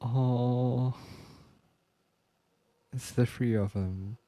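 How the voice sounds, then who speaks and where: A streamer giving casual gaming reactions in sympathetic, drawn-out murmurs. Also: mid-range voice, fairly even intonation, mellow, dry recording.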